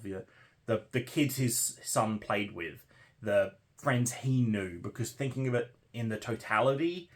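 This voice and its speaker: A man hosting a book read-along vlog, talking conversationally to viewers as he recaps and muses. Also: medium pitch. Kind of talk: casual book discussion to viewers.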